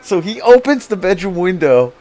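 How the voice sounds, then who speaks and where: A man's voice: sits high, very animated, normal speed, a little mellow. An American man casually telling a story on a live stream, swearing freely in a crude way. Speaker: video host and streamer. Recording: clearly noisy background.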